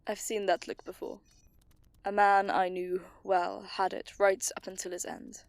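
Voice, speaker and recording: A woman's voice: mid-range voice, flat delivery, vocal fry, dry recording. An actress playing a stern, seasoned woman commander in an audio drama. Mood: gentle. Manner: stern, measured.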